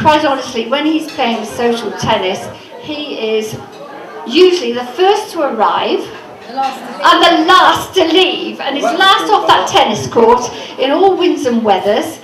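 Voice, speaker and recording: A woman's voice: high voice, expressive, measured pace. A woman giving a chatty, teasing tribute speech at a club party. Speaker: presenter of a gift. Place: club function room. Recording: echoey room with crowd.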